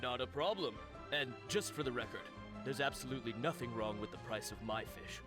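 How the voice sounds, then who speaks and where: A man voices a chatty fish seller in a video game, gossipy and a touch defensive. His voice sits at a medium pitch, with music playing clearly behind him. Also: a little fast, close to an everyday voice. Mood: chatty, guarded. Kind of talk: video game character dialogue.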